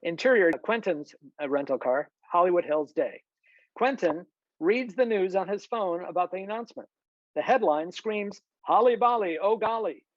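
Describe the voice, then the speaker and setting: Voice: mid-range voice, animated, moderate pace, dry recording. Dry, slightly dull screenplay narrator. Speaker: stage directions reader. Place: close, dry room on a video call.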